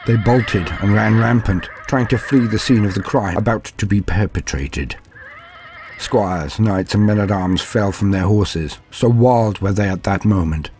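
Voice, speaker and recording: A man playing a frightened but dutiful knight in an audio drama, narrating gravely in archaic wording with dramatic pauses. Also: lowish voice, darkish tone, slight background noise. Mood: horrified awe. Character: frightened but dutiful male knight recounting doomed battle.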